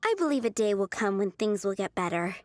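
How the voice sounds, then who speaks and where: A woman playing a kind, earnest young restaurant server in a video game, gentle, measured and polite. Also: mid-range voice, expressive, no room sound, faint music behind, close to an everyday voice. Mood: earnest, sympathetic.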